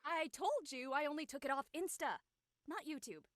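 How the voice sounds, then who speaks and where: Woman as teenage girl, breezy, teasing, bright voice, close dry sound, comedic audio drama. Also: high-pitched, animated, moderate pace, dry recording. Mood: breezy, unapologetic. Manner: breezy, matter-of-fact, teasing. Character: blunt, unapologetic teenage girl obsessed with social media.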